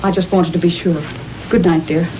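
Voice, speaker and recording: A woman acting an anxious, devoted wife in an old-time radio drama, gentle and concerned. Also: on the low side, level tone, moderate pace, noisy background, natural voice. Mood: worried, tender.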